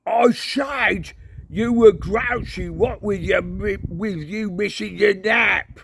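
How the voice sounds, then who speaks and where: A man reading aloud and voicing an old barbarian hero who talks loudly and gruffly. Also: on the high side, very animated, slow pace, a little hiss or hum, pitched above the performer's own voice, exaggerated voice. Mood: boisterous.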